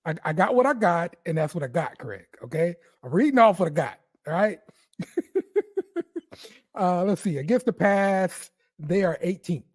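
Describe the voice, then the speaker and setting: A man's voice: sits high, lively intonation, normal speed. A man co-hosting a casual sports podcast, upbeat and teasing, often repeating himself. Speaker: podcast co-host.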